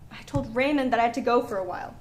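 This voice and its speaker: A woman's voice: fairly high pitch, rapid-fire, close to an everyday voice. A woman acting a nervous, evasive restaurant underling in an amateur stage play, hesitant and deferential. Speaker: actor in a one-act play. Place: indoor stage. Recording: echoey room with clear background noise.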